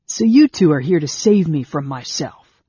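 A man's voice: mid-range voice, a little slow, mellow, slightly rough, dry recording, dull audio. A man voicing an arrogant, suspicious young warrior in a fantasy audiobook, with a sneering, sarcastic manner. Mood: bitter, mocking.

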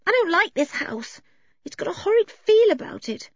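High plaintive voice from a woman, little girl, timid, fretful, animated pitch, audiobook. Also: normal speed, dry recording, dull audio. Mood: frightened. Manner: nervous, plaintive.

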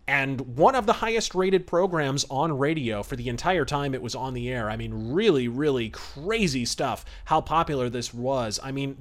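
A man hosting a podcast, giving casual, enthusiastic commentary in an emphatic, conversational way. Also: medium pitch. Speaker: podcast host.

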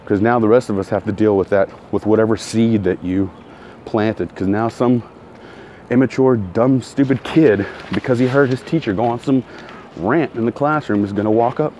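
A man's voice: on the low side, moderate pace, dark tone, slight background noise. A man ranting in a casual monologue, blunt and heated, repeating himself, his throat still recovering from soreness. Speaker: vlogger.

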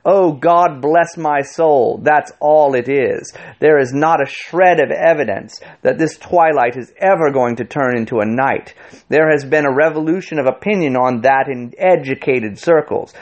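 A man voicing a pompous, cultured fat man in an audiobook, oratorical and condescending in a drawn-out delivery. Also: fairly high pitch, dull audio, pitched above the performer's own voice, natural voice. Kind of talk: audiobook character voice.

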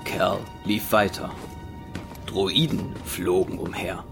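A male narrator with a fairly low, gravelly voice tells an audio drama story. His delivery is scripted narration, set over a clear musical score. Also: fairly even intonation, slow, over music.

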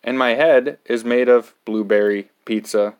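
A man voicing a silly robot monkey in a children's storybook, with exaggerated, drawn-out nonsense sounds. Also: mid-range voice, steady tone, darkish tone, clear, resonant tone, close to an everyday voice. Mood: silly, boastful. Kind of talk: playful children's picture-book reading.

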